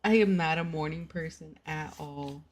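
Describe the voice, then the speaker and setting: A woman's voice: low voice, normal speed. A young woman streamer who just woke up, groggy and tired, chatting casually with her viewers and laughing often. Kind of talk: casual chat.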